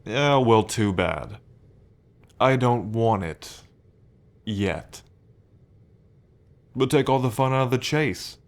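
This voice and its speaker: A man's voice: medium pitch, lively intonation, slow pace, long pauses. A man playing a smug, teasing demon prince of pride in an ASMR roleplay, drawling and playfully taunting the listener.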